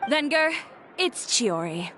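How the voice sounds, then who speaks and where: A woman's voice: fairly high pitch, sing-song, takes it slowly, a crowd in the background, noisy background. A woman voicing a blunt, self-assured boutique owner in a game, speaking in a direct, composed and dismissive way. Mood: cool. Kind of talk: game voice acting.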